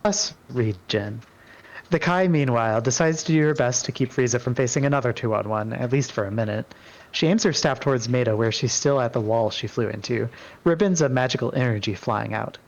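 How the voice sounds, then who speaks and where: A man's voice: medium pitch, brisk. A man reading scripted fantasy narration as a player in a group story. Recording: dull, lo-fi microphone sound.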